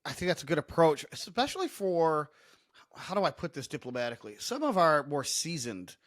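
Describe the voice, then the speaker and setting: A man's voice: a little high, big pitch swings, a little fast, no echo, clean studio sound. A man co-hosting a podcast interview in a casual, wordy way, often correcting himself mid-sentence. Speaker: podcast co-host.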